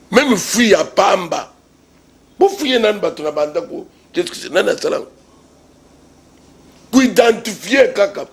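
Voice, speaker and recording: Congolese male political pundit, gravelly and husky, emphatic, rhetorical, repetitive. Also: high-pitched. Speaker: political commentator being interviewed. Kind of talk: impassioned political argument in an interview.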